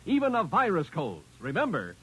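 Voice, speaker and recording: A man's voice: high voice, wildly swooping pitch, normal speed, a loud crowd. A man reading a scripted 1950s radio commercial as an announcer, on a vintage broadcast recording. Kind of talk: 1950s radio advertising pitch.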